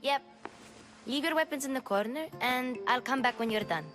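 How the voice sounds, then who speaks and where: A young girl helper in a video game with a high voice. She sounds earnest and reassuring, a little bossy but caring, with soft music underneath. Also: normal speed. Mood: brisk, reassuring. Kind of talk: video game cutscene acting.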